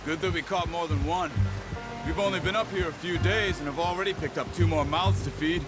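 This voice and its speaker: An actor playing a cautious, thoughtful outlaw companion in a video game, a man with a measured, serious manner. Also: a little high, over loud music, natural voice. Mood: cautious, concerned. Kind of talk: video game dramatic dialogue.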